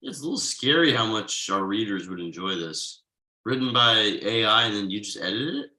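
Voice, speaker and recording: A man playing an exasperated, uptight workaholic father with halting, sardonic delivery in a comedy table read. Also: on the low side, lively intonation, bright, forceful tone, rough recording. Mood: grudgingly impressed.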